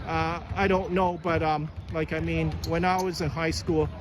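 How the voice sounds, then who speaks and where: A man doing a casual interview in a halting, hesitant way, often repeating and restarting his phrases. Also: fairly high pitch, level tone. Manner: halting, hesitant, repetitive delivery. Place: indoor basketball gym. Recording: noisy gym, bouncing balls behind.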